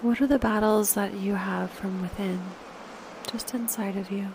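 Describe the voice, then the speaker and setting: Soft-spoken woman guiding meditation, creaky voice. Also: medium pitch, steady tone, moderate pace, noisy background. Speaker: guided meditation leader. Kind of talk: slow guided meditation with reflective questions.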